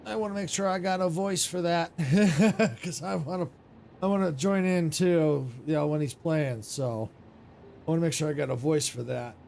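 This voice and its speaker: A tired man with a raspy voice, chatting casually and muttering to himself while streaming a building game. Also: on the high side, moderate pace, a little mellow, slight background noise. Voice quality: raspy, gravelly. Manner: mutters to himself, self-critical, rambling. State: tired, yawning. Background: game music.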